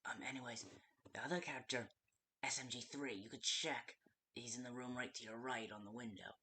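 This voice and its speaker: A performer playing a hesitant, nervous guide in a game, giving survival instructions in a halting, often hushed voice. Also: medium pitch, fairly even intonation, a little hiss or hum, close to an everyday voice. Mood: hesitant, cautioning. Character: hesitant, nervous guide talking a night-shift survivor through danger. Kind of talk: game tutorial monologue.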